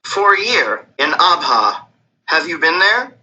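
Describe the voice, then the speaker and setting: A man's voice: fairly high pitch, big pitch swings, measured pace, sharp tone, highs cut off, exaggerated voice. A man voicing a polite, eager young exchange student in a scripted textbook dialogue.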